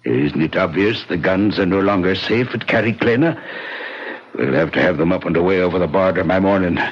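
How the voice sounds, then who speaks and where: An actor playing a sly, bossy Irish innkeeper and gun-runner in a radio drama, with a husky, folksy, commanding delivery. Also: male voice, sits low, a little fast. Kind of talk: old-time radio drama acting. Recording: dull, muffled old radio recording.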